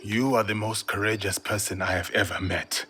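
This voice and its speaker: An actor playing a loyal warrior ally in a video game cutscene, speaking calmly and reassuringly. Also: male voice, lowish voice, normal speed. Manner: calm, reassuring. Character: steady, loyal male warrior ally, gently encouraging. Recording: prominent music behind dialogue.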